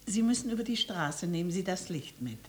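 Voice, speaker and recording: An actress playing a hospitable, matter-of-fact pastor's wife in a 1950s radio drama. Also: female voice, fairly low pitch, sing-song, a little fast. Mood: practical, kind. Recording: roomy old radio recording with hiss.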